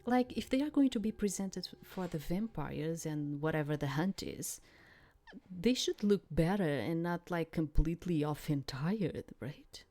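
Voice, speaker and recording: Low-voiced, unhurried woman chatting over a game. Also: animated, mellow, no room sound. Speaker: game streamer. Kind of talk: visual novel playthrough, reading aloud with casual commentary.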